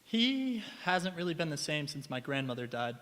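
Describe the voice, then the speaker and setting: A man's voice: fairly high pitch, big pitch swings, normal speed, a bit bright. A man acting an earnest, flustered young student in a stage radio play, polite and a little stammering. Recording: echoey hall with long reverb.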